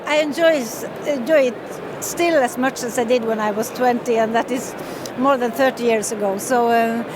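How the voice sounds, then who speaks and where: Hesitant Norwegian woman in her fifties. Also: a little high. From northern Norway. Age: 50s. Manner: hesitant, many fillers and restarts, laughs often. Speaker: fiddle player and composer. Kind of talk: casual interview. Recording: loud street noise behind.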